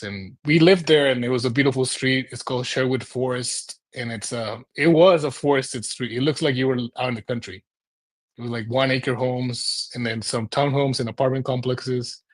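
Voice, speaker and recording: A man in his thirties speaks at a middle pitch, telling his own story as a guest in a conversation. He is from Mexico and was raised in Houston. His delivery is informal and rambling, and he often poses rhetorical questions to himself. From Mexico, moved to Houston as a child. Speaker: urban planner, interview guest. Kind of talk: conversational personal storytelling in an interview.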